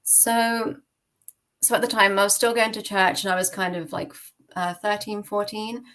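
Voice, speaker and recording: A woman's voice: mid-range voice, little pitch movement, moderate pace, fairly bright tone. A woman from the UK with a British accent, giving personal testimony as an interview guest in a candid, informal way, often using filler phrases like "kind of". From United Kingdom. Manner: candid, conversational, peppered with "like" and "kind of".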